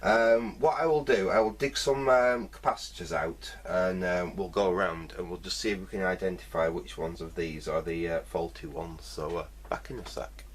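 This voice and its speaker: A man's voice: low-pitched, steady tone, moderate pace, hardly any pauses, slight background noise. A man giving a casual hands-on repair commentary, thinking aloud hesitantly in fragments. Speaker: host of a vintage electronics repair video.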